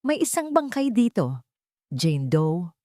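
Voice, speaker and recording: A woman's voice: lowish voice, big pitch swings, slow, dark tone, no echo, clean studio sound. A woman with a Filipino accent voicing an insistent, secretive female friend in an audiobook drama. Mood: secretive, urgent. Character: urgent, conspiratorial woman, loyal morgue attendant friend. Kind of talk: audiobook character dialogue.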